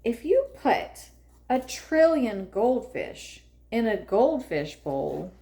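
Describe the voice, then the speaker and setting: A woman reading a children's picture book aloud expressively, with deliberate phrasing and drawn-out emphasis. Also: on the high side, very animated, dark tone. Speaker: read-aloud narrator for children.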